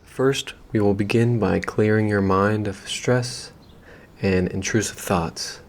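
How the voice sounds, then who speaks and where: A man leading a guided meditation in a gentle, unhurried, soothing way, leaving long pauses between phrases. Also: on the low side, fairly even intonation. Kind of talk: calm guided meditation, slow and soothing instruction.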